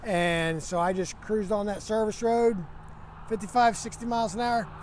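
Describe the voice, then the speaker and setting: High-pitched trucker, noisy recording. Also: male voice, fairly even intonation, normal speed. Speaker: trucker vlogger. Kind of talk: casual first-person storytelling to camera. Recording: noisy, vehicle and outdoor ambience.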